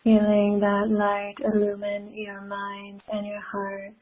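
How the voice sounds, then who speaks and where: A woman leading a spiritual guided meditation in a soothing, gentle manner with long pauses, recorded from a live call. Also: mid-range voice, completely flat delivery. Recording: muffled phone-like live call audio.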